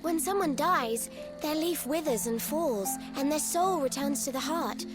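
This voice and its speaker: High-pitched, plucky little girl. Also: expressive, unhurried. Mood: explaining. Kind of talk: video game voice acting. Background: faint game music.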